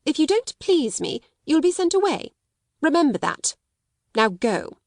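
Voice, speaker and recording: Woman voicing a bossy cold headmistress, sharp scolding tone, raised and pushed up a bit. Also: a little high, expressive, normal speed, no echo, studio quality, pitched above the performer's own voice. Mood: domineering. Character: stern domineering headmistress, middle-aged woman. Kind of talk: audiobook character reading.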